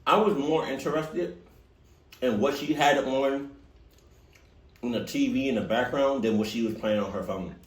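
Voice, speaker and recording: A man's voice: mid-range voice, moderate pace, long pauses, roomy sound, poor audio. A young man hosting a reaction video, making teasing, joking remarks to his viewers. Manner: joking, teasing, animated asides. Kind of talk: casual reaction commentary.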